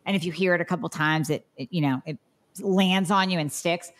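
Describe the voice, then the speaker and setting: A woman's voice: fairly low pitch, brisk, fairly bright tone. A woman hosting a health podcast, affirming her guest and explaining things at length. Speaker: podcast host. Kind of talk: casual health podcast talk.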